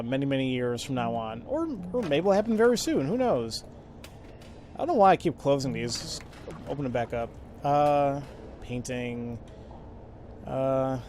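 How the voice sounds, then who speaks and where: A man gives informal video game commentary in a medium-pitched voice. He thinks aloud in halting, casual phrases. There is faint noise behind him. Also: darkish tone. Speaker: let's play gamer.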